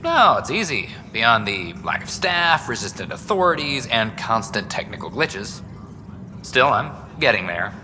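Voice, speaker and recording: An actor playing an overworked, earnest young news reporter in a video game, chatty, wry and self-deprecating. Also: male voice, mid-range voice, sing-song, unhurried. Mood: wry, hopeful. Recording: reverberant hall with background noise.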